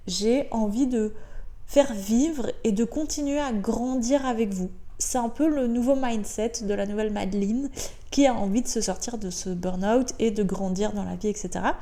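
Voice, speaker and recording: Woman, French accent, solo podcast host; warm, mellow tone, chatty and upbeat, lots of filler sounds. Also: medium pitch. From Belgium. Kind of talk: casual solo podcast monologue. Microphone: podcast microphone.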